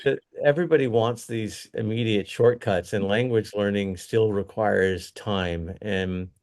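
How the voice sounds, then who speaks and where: A man's voice: lowish voice, a little slow, a little mellow. A middle-aged man, a company executive, giving earnest, rambling interview answers full of restarts and fillers.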